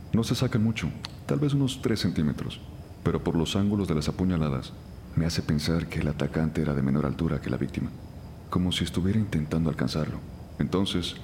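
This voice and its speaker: An actor playing a brisk, analytical forensic investigator in a crime audio drama, explaining methodically. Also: male voice, a bass voice, a little mellow, a little hiss or hum.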